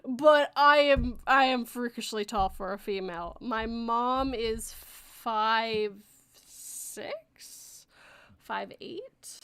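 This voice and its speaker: A woman's voice: a little high, very slow, a bit bright, smooth. A Canadian woman in her thirties hosting a casual chat show, talking loosely and laughing as she reads out chat messages. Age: 30s. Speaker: podcast host reading chat.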